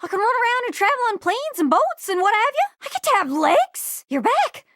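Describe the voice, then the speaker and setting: A woman voicing an excitable, scatterbrained globe character in a video game, with a folksy Newfoundland accent and a bubbly, exclamatory manner. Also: very high-pitched, a little fast, dry recording, clean studio sound, exaggerated voice. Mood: giddy, excited. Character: excitable, scatterbrained living globe longing to travel.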